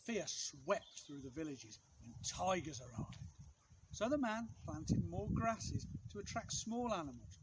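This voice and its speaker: Very lively high-voiced man reading a story. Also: heavy background noise. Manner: measured, dramatic phrasing with pauses for effect. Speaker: storybook reader, outdoor teacher. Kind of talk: expressive read-aloud of a children's picture book.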